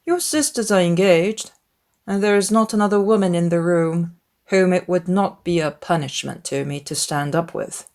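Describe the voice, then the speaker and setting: Lady doing a snooty proud gentleman, cold n formal, kinda low voice. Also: moderate pace, natural voice. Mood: disdainful. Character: proud, disdainful, aloof English gentleman.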